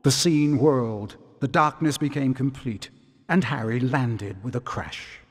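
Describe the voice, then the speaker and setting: A man's voice: medium pitch, a little slow. Male storybook narrator with long reverb. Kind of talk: scripted storybook narration for a video game.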